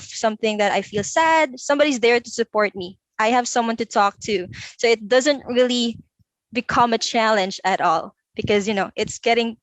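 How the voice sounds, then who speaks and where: A woman's voice: a little high, dry recording, rough recording. A young woman, talking about her job at home as an interview guest, chatty and laughing easily. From Philippines. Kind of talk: casual online interview. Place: home room.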